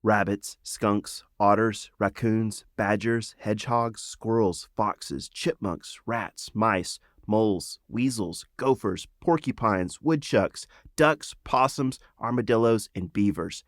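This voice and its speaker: A man's voice: fairly low pitch, takes it slowly, few pauses, no room sound, clean studio sound. A man reading his own children's fantasy book as a scripted storybook narration.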